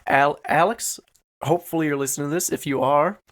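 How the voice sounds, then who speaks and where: A man's voice: fairly high pitch, steady tone, a little fast, a bit bright. A man co-hosting a podcast in casual chat, with an informal, jokey, easygoing way of talking. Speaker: podcast co-host.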